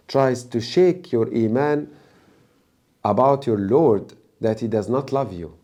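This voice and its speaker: A man from Egypt with an Egyptian accent, a native Arabic speaker, giving religious advice as a preacher in an emphatic, deliberate way. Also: lowish voice, dark tone. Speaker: Islamic preacher and guest.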